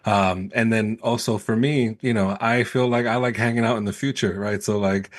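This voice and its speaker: A man with a fairly low voice speaks as a guest on an interview podcast. He is from New York City with Puerto Rican roots, and his delivery is informal and conversational. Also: fairly even intonation, normal speed. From New York City, born in Puerto Rico. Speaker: podcast guest, sales coach.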